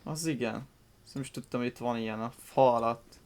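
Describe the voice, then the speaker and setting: A man's voice: medium pitch, fast talker, background noise. A man doing casual, sweary reaction commentary over video gameplay. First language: Hungarian. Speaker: gaming YouTuber. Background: game music.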